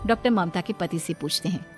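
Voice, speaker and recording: A woman's voice: on the low side, brisk, soft background music. A woman narrating a dramatic cartoon story in a storyteller's style.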